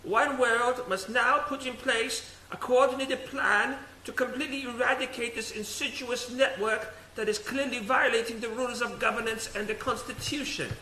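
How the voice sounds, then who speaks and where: A man playing a stern high priest ruler of a dystopian council in a radio play, speaking in formal, commanding oratory. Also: steady tone, bright, forceful tone. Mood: grave, commanding. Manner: formal, imperious, measured oratory. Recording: echoey, faint noise.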